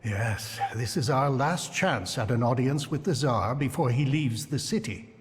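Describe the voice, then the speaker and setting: An actor plays a sober male strategist in a video game. His mid-range voice is measured and focused. A long reverb trails each phrase. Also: mid-range voice, expressive, close to an everyday voice.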